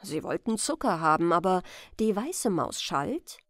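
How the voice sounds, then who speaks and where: A woman's voice: on the low side, sing-song, unhurried, no echo, studio quality. A woman narrating a bedtime fairy tale as storyteller, reading expressively and with care.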